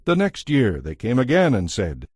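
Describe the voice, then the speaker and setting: A man narrating scripture from a script in a measured, solemn manner. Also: mid-range voice, wildly swooping pitch, a little mellow, husky, no echo, clean studio sound. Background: music.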